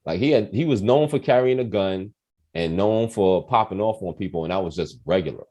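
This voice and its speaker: Man, forties, lowish pitch, highly expressive swings, casual host, animated storyteller, profane and slangy. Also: brisk. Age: 40s. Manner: animated, profane, acts out quoted voices. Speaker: quiz host on a talk show.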